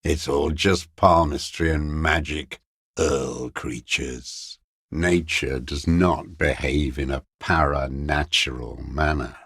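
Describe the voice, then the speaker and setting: Very deep, gravelly bitter old deserter. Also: male voice, slow pace, a bit bright, no echo, clean studio sound, heavily acted. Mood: scornful ranting. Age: older. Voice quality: gravelly, raspy. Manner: contemptuous, cursing, ideological ranting. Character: bitter, dying old communist deserter, paranoid and contemptuous. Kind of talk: dramatic video game voice acting.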